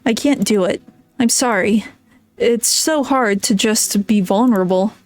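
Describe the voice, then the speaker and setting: A woman reading a perfectionist teenage girl club president in a soft, halting voice. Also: medium pitch, no echo, lightly acted. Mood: strained.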